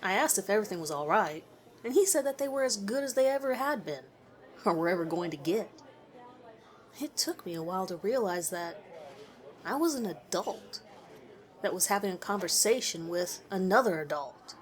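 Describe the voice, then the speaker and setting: A woman narrates a scripted story in the first person, reading it aloud as the storyteller. Her voice sits at an average pitch for a woman. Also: expressive, moderate pace.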